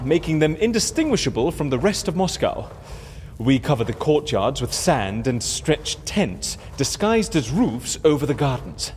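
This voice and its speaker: A man's voice: on the high side, darkish tone. An actor playing an eccentric, boastful old male adventurer in an audio drama, with a breezy, self-assured, theatrical manner. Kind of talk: audio fiction drama acting. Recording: echoey reverberant hall, background noise.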